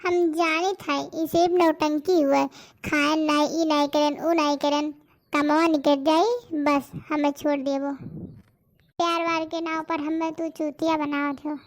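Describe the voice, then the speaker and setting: A woman voices a young village sweetheart in a cartoon, with an extremely high, childlike pitch and an Awadhi accent. She is sulky and sharp-tongued, scolding with impatience. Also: little pitch movement, measured pace. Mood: impatient, distrustful. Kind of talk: comic rustic cartoon dialogue.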